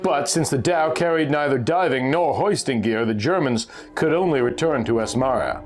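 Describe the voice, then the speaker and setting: A man's voice: mid-range voice, moderate pace. A man narrating a scripted adventure story in a theatrical, suspenseful storytelling manner. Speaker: narrator reading a pulp magazine story.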